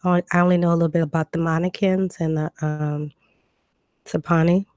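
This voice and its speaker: A woman, hoarse from a cold, hosting a live stream and answering viewer comments in a relaxed chat with lots of ums. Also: lowish voice, a little fast, dry recording. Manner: conversational, reads comments aloud and answers them, frequent ums.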